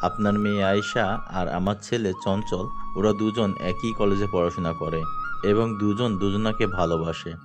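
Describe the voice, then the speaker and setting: Man acting a low-voiced, demanding groom's father. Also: normal speed, natural voice. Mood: firm, demanding. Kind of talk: scripted cartoon dialogue. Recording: music behind the voice.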